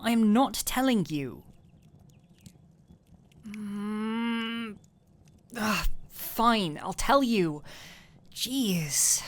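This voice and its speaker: Soft, unhurried alchemist played by a woman. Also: mid-range voice. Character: gentle anxious fantasy alchemist, teasing and tender. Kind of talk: roleplay audio drama, intimate one-sided dialogue.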